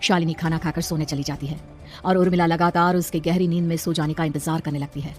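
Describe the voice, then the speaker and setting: A horror story narrator with a mid-range voice. The delivery is fast, scripted and dramatic, set over faint music. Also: mid-range voice, fairly even intonation, darkish tone.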